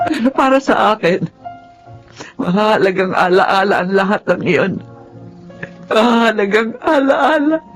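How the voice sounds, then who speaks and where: Radio drama role: elderly woman servant, stubborn and sorrowful, scored with music. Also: on the high side. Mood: sorrowful, nostalgic. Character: elderly, sorrowful lifelong housemaid, stubborn and sentimental. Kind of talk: Tagalog radio drama acting. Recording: music under the voice.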